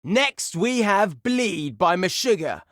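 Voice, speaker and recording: An actor playing a brash, excitable heavy metal radio DJ in a video game, announcing songs and listener requests in a punchy, showy style. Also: male voice, high-pitched, slow, no echo, clean studio sound, full character voice. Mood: upbeat.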